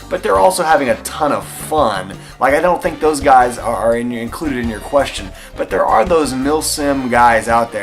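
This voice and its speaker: A young man with a Texan drawl talks through viewer questions in a relaxed, enthusiastic vlog style. His voice sits at an ordinary middle pitch and is dotted with easy fillers. Faint music plays behind him. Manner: enthusiastic, chatty, frequent fillers. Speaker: airsoft channel host answering viewer questions. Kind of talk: casual Q&A vlog.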